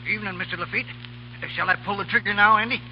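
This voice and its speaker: A folksy, eager aide who is quick to pitch in, as a man voices him for a 1930s radio drama. His voice is high and the lines come quickly. The broadcast is muffled, with music playing clearly behind him. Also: fairly even intonation, natural voice. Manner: folksy and drawling.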